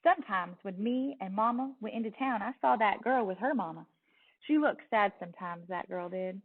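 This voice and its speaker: A woman with a Southern US accent giving an expressive storybook read-aloud as a teacher, telling it in a young girl's words with soft dips at phrase ends. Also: mid-range voice, moderate pace. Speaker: teacher reading a picture book aloud. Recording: distant, tinny phone-like sound.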